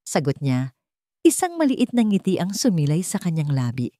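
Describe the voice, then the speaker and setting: A woman with a somewhat low voice narrates a scripted love story. Her delivery is dramatic and measured. The recording is dry and close, with no room sound. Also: sing-song, warm tone, no echo.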